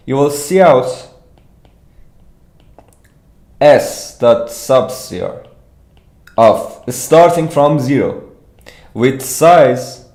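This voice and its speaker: A man with a Persian accent teaches programming in an informal livestream style. He takes his time, haltingly working through his thoughts aloud. His voice rings off the walls of an echoey room. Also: medium pitch, darkish tone. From Iran. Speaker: competitive programming instructor. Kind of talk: informal livestreamed problem walkthrough.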